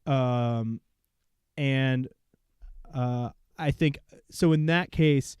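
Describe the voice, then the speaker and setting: A man's voice: medium pitch, extremely slow, a little mellow, clear, resonant tone, no room sound. Dry-voiced joking podcast man. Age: 30s. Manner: wry, self-deprecating joker. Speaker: podcast co-host. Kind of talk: casual car podcast chat.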